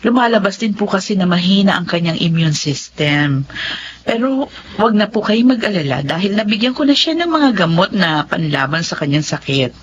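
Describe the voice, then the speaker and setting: A woman playing a calm, reassuring pediatrician who explains things in a Filipino radio drama. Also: lowish voice, normal speed, lightly acted. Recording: dull lo-fi audio, faint noise.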